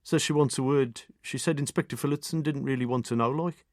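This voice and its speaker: A man's voice: medium pitch, fairly quick, a little mellow, no echo, clean studio sound, pitched above the performer's own voice, lightly acted. A man voicing a respectful, plain-spoken young police constable. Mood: deferential.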